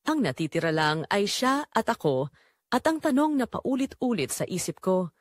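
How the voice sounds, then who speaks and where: A woman reading a romance story as dramatic scripted narration, in a measured, emotive way. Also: mid-range voice, sing-song, no room sound, clean studio sound.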